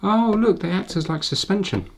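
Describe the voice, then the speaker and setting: A man's voice: high voice, expressive, normal speed, a little hiss or hum. Middle-aged man thinking aloud. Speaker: repair video host. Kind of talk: casual hands-on commentary while working.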